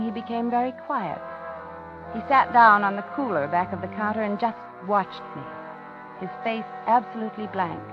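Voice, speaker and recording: A dull 1950s radio recording with some music carries an actress as a lonely older widow. She has a medium-pitched voice and a genteel, chatty, dramatic delivery, as in a radio thriller. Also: natural voice. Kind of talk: 1950s radio thriller acting with first-person narration.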